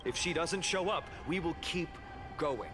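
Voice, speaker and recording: A man playing a calm, trusting young leader in a video game, earnest and measured. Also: a little high, faint music behind. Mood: resolute, hopeful.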